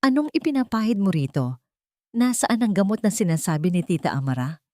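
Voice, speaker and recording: A woman's voice: medium pitch, sing-song, fairly quick, darkish tone, no echo, studio quality, close to an everyday voice. A woman voicing a young female medical student, a concerned and protective granddaughter who questions firmly. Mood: probing. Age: young adult. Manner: firm, probing, concerned.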